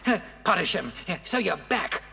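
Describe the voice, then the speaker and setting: Captive in children's drama:, indignant, strained, creaky and very high for a man, reverberant. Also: very animated, moderate pace, pushed and bright, full character voice. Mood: agitated, indignant. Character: agitated, indignant male captive standing up to villains. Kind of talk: children's audio drama acting. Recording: long hall reverb.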